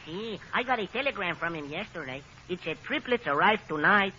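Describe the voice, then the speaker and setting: Befuddled Mexican handyman, cheerful, long-winded, animated pitch, 1940s radio comedy, muffled recording. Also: exaggerated voice. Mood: earnest, muddled. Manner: drawn-out, muddled explanations. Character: muddled Mexican handyman, cheerful, earnest twister of logic. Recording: scratchy, band-limited 1940s radio recording.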